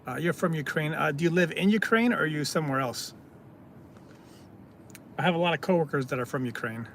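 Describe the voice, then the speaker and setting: Guy on a live stream, kinda high voice with some fry, lots of uhs, light hiss in back. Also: fairly bright tone. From California, United States. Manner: reads viewer comments aloud and answers them, halting with many fillers. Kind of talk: casual live-stream chat.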